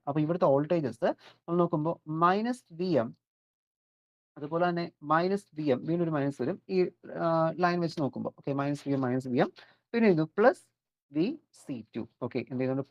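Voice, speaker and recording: A Kerala lecturer with a Malayali accent and a medium-pitched voice works through a technical lesson at a rapid pace. The delivery is built on questions that get answered straight away, sprinkled with English terms. The sound is dull and lo-fi. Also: a little mellow. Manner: keeps posing questions and answering them. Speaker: electronics lecturer. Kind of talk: explanatory lecture worked step by step. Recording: dull, lo-fi audio.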